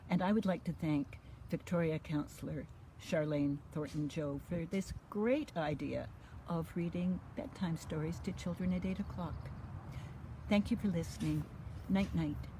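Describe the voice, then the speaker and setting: A woman's voice: low voice, a little slow, dark tone, a little hiss or hum. An older woman reading a children's bedtime story expressively, with a sing-song lilt and emphatic swells. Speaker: storybook reader.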